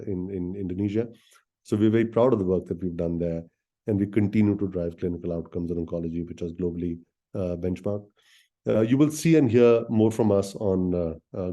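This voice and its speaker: Male executive, business presentation, very dark soft-edged timbre, slightly low pitch, halting delivery, frequent filled pauses. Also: dull audio. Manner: halting, hesitant phrasing with many filled pauses. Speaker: company executive presenting results. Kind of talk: business presentation on an earnings call.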